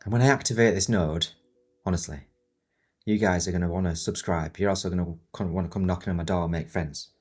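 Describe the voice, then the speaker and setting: A deep-voiced man in his thirties, husky and croaky with a cold, rambles through a tutorial in his home studio. He jokes and mocks himself as he goes, and soft music plays faintly behind him. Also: fairly even intonation, fast. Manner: rambling, joking, self-mocking. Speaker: video tutorial host. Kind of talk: casual chatty tutorial. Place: home studio set in a new house.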